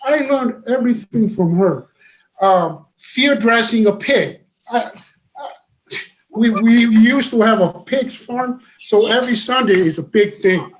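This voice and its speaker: High-voiced man, halting talk. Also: low-quality recording. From Samoa. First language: Samoan. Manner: halting, full of uh's and restarts. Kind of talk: casual conversation.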